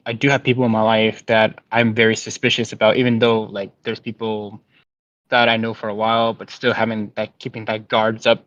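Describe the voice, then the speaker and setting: A man on a discussion panel, talking haltingly with many fillers and restarts. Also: lowish voice, fairly bright tone, dry recording. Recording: dry, dull lo-fi sound.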